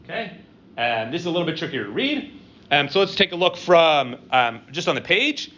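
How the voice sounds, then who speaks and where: A middle-aged man lecturing to a live audience, conversational and halting, with lots of "um" and "right?" checks. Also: on the high side, big pitch swings, bright, forceful tone. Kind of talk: university-style lecture with audience questions. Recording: dull lo-fi room recording with faint ambience.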